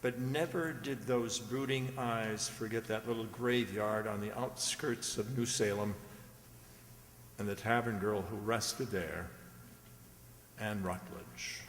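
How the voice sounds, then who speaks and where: A man's voice: fairly low pitch, steady tone, slow pace, slight background noise. Man acting a solemn, elegiac radio drama narrator. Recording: echoey hall with music behind.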